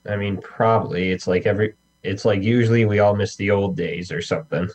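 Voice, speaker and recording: A man's voice: lowish voice, steady tone, fairly quick, a little mellow. A man chatting casually as a guest, answering briefly in a hesitant, noncommittal way. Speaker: talk show guest. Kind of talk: casual chat. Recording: band-limited, dull lo-fi sound.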